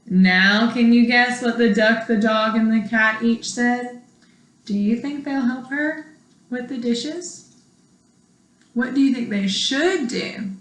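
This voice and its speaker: A woman schoolteacher reading a children's storybook aloud expressively, as if to her young students. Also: on the high side. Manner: expressive, asks young listeners questions. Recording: echoey room.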